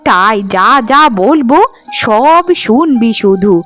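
A woman's voice: a little high, lively intonation, slow, a bit bright, soft background music. A woman voicing a cruel, scheming stepmother in a cartoon, with harsh, scornful scolding. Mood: scornful, threatening. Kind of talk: melodramatic Bengali cartoon dialogue.

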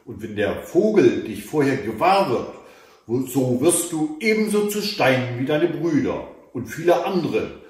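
A man voicing a stern but compassionate old monk in a fairy tale, deliberate and admonishing. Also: medium pitch, very animated, a little mellow. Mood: grave advice. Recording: echoey room, mic at a distance.

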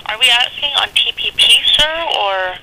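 A woman working as a congressional office staffer, answering a phone politely in a halting, formal manner. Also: on the high side, lively intonation, pushed and bright, a little hiss or hum. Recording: telephone line audio.

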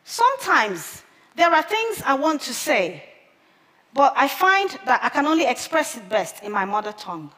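Igbo-speaking woman, 30s, stage speaker: slow, measured delivery, lively high pitch. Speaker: conference speaker. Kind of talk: prepared public talk. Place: large theater stage with audience. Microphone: headset mic. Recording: long hall reverb from a big theater.

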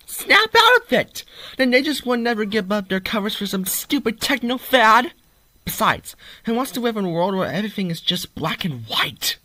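A man's voice: high-pitched, big pitch swings, normal speed, sharp tone, heavily acted, rough recording. A man voicing a plucky, earnest young creature in a cartoon fan dub, exclaiming dramatically. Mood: pleading.

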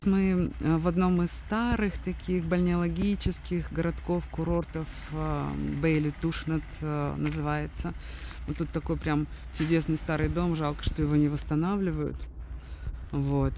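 A woman's voice: low voice, steady tone, normal speed, mellow, a little hiss or hum. A woman giving casual, unscripted travel commentary as a guide, explaining things hesitantly with trailing asides.

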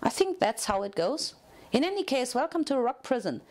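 A woman voicing a manic, bubbly young pink pony mare in a storybook reading, chirpy, excitable and chattering. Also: sits high, moderate pace, pitched above the performer's own voice. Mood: cheerful.

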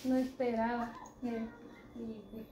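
High-voiced Guatemalan woman in an echoey room. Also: little pitch movement, a little slow, a crowd in the background. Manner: repeats phrases, devout expressions of thanks. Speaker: mother of a newborn being visited. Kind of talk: casual chat. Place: small bare-walled bedroom indoors. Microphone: handheld camera a few meters away. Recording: echoey room with clear background noise.

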